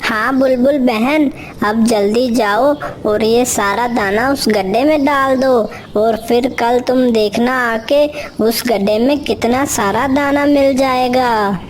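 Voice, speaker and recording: A woman's voice: fairly high pitch, takes it slowly. A woman voicing a sly, lazy male crow in a children's cartoon, with a coaxing, explanatory way of talking. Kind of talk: children's cartoon character dialogue.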